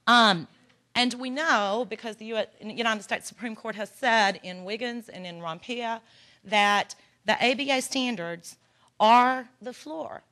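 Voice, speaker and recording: A woman's voice: a little high, unhurried, bright, forceful tone. A woman from Texas with a Texan accent, giving an earnest, encouraging workshop presentation with a folksy manner. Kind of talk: conference presentation. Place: conference room.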